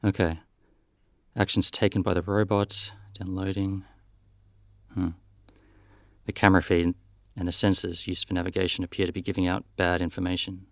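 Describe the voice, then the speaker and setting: Gravelly, low-voiced matter-of-fact detective, played by a man. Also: little pitch movement, moderate pace, pauses often, dry recording, studio quality. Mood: analytical. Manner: businesslike, plain-spoken. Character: brisk, matter-of-fact male detective investigating robot accidents. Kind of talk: scripted audio drama.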